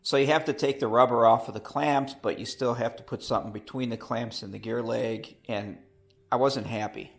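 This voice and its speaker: A man with a cold, his voice rough and gravelly, chatting casually in his home workshop about building his aircraft, rambling and correcting himself as he goes. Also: mid-range voice, steady tone, moderate pace. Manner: rambling, self-correcting, with hesitations. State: has a cold.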